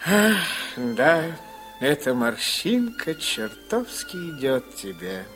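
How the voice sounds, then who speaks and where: Slow, tender painter husband. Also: male voice, on the high side, wildly swooping pitch, fairly bright tone, soft background music, heavily acted. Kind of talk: Soviet radio play acting.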